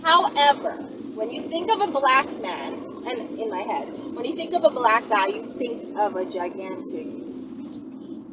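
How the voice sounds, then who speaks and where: A woman's voice: a little high, sing-song, unhurried. Chatty lady vlogging, swoopy playful delivery, slangy and rambling, noisy audio. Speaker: vlogger answering viewer questions. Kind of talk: casual, chatty, candid monologue to viewers. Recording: noisy, distant mic.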